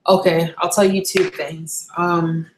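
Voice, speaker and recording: A woman's voice: on the low side, flat delivery, slow, a little hiss or hum. A young woman from Barbados chatting casually on a live stream, candid and rambling, often correcting herself mid-sentence. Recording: echoey room.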